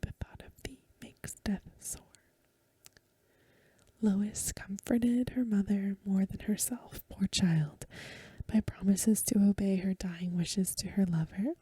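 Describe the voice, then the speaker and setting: A woman reading a Victorian story for ASMR in a hushed, gentle, breathy whisper, unhurried and close to the microphone. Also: mid-range voice, fairly even intonation, no room sound. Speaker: ASMR reader.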